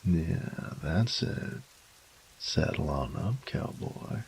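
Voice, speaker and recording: A man acts out an affectionate, teasing boyfriend in a romantic audio roleplay. His voice is extremely low and full of fry, drawn out very slowly in intimate murmurs and whispers. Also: animated, a little hiss or hum, full character voice. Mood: cozy, teasing. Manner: slow, teasing, breathy murmurs dropping into whispers.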